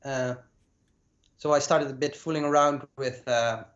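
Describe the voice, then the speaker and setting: A Dutch man in his late twenties talks through his story as a class guest, halting on fillers and repeating himself. His voice sits at a middling pitch. It comes through roomy and a little muffled, as if over a call. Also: steady tone. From Netherlands. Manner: hesitant, many uh fillers and repeated words. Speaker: guest compositing artist. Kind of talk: casual talk about his career. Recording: echoey, dull lo-fi sound.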